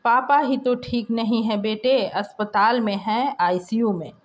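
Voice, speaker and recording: Woman voicing an anxious, pleading mother, high-pitched. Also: fairly even intonation, a little slow, highs cut off, lightly acted. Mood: sad, worried. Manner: worried, faltering, pleading. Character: distressed middle-aged mother, anxious, near tears, pleading.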